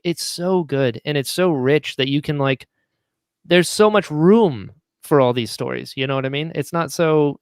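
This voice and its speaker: A man's voice: a little high, no room sound, studio quality. A man speaking as a podcast guest, telling anecdotes informally and acting out bits of dialogue. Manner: informal, acts out quoted dialogue. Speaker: podcast guest, audio drama writer. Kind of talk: casual chat, animated anecdotes.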